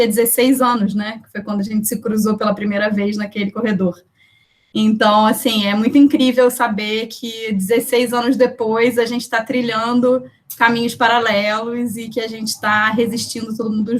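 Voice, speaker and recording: A woman poet and researcher from Brazil introducing herself at an online poetry event, chatting from home over a video call with lots of fillers. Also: a little high. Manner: chatty, warm thanks, many fillers.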